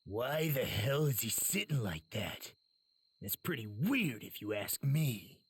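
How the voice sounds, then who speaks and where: A gravelly, raspy voice that swings wildly in pitch, a hot-headed young brawler in an anime game. He is boastful, rough and mocking, recorded dry and close. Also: sing-song, slow, no echo, full character voice. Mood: cocky, mocking. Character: brash, hot-headed, wild boastful young male fighter. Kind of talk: anime game cutscene acting.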